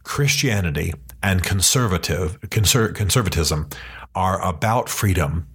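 Earnest, husky man. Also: low-pitched, fairly bright tone. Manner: earnest, emphatic, slows down to stress key points. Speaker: podcast host. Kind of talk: passionate podcast monologue. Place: his office.

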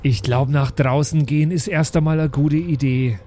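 Man, Franconian accent, fairly high voice, casual improvised role-play, tabletop player, faint background noise. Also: steady tone, moderate pace, mellow.

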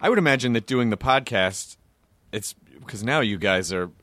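A man's voice: fairly low pitch, expressive, normal speed, a bit bright, no echo. A man hosting a casual interview, thinking aloud as he circles toward his questions. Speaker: podcast host, interviewer.

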